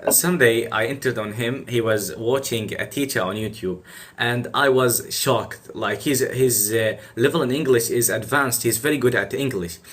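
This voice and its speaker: A young man whose first language is Arabic hosts a casual chat, pausing often with "uh" as he searches for words. His voice is bright and clear-edged at a middle pitch. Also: slight background noise. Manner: hesitant, with many "uh" pauses. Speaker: podcast host. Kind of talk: casual conversation.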